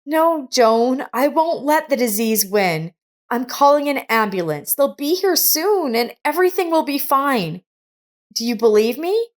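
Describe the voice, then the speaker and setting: A caring young heroine from a storybook, acted out by a female narrator. Her voice is pitched high, with an earnest, insistent tone. She sounds determined and reassuring. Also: animated, a little slow.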